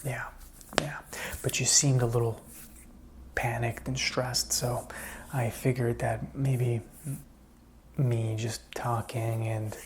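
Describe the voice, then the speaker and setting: A man playing a lonely, rambling zombie apocalypse survivor in an ASMR roleplay, speaking softly and soothingly, often dropping to a whisper. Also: mid-range voice, a little hiss or hum, close to an everyday voice. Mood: apologetic, soothing. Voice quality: soft, breathy, often whispered. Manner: slow, hesitant, rambling, soothing.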